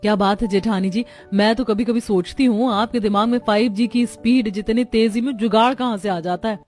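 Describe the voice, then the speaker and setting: A woman performing family dialogue for an animated story. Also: a little high, a little fast, soft background music. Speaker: voice of the women of a family. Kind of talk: acted Hindi cartoon story dialogue.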